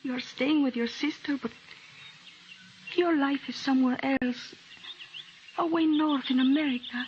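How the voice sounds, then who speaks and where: A woman's voice: high voice, fairly even intonation, darkish tone. An actress playing a mysterious young village woman of twenty, speaking formally and solemnly in an old-time radio drama. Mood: firm, pleading. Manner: formal, solemn, deliberate phrasing. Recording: dull, faintly noisy old radio recording.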